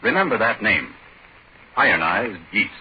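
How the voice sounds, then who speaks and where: A man's voice: lowish voice, sing-song, unhurried. A man working as a radio announcer, reading a scripted advertisement in 1940s style. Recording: muffled 1940s radio, faint hiss.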